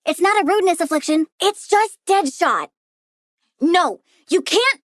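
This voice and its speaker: Game villainess: very high, girlish, wildly swinging voice, bubbly plant-obsessed brat with a menacing, sardonic streak. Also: a little slow, no room sound, clean studio sound. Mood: sardonic, sulky. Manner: childlike, gleeful, sardonic, swinging between chirpy and deadpan. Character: bubbly, bratty, menacing young plant-girl supervillain. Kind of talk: video game character voice lines.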